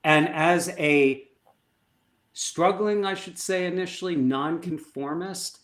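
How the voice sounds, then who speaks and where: Animated man telling stories, slightly high voice. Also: takes it slowly. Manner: animated, emphatic storyteller who acts out dialogue. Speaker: performance coach guest. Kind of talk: conversational storytelling.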